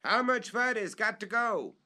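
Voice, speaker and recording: A man voicing an old servant who calls down from high in a tree in a strained, high voice with thick rural dialect. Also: sing-song, normal speed, fairly bright tone. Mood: calling, wary. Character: old male servant calling down from a treetop.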